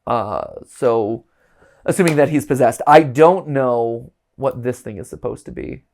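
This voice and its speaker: Male host, mid pitch, casual reaction chat, playful and animated. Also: a little slow. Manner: animated, jokey, breaks into silly voices.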